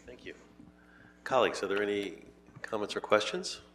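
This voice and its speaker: A man's voice: lowish voice, moderate pace, fairly bright tone. A man chairing a city committee hearing, talking informally with repeated words and fillers.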